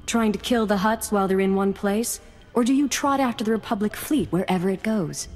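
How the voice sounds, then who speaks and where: A woman's voice: medium pitch, a little fast, close to an everyday voice. A woman playing a haughty corporate executive, scornful and sarcastic, in a video game. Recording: reverberant hall, faint music behind.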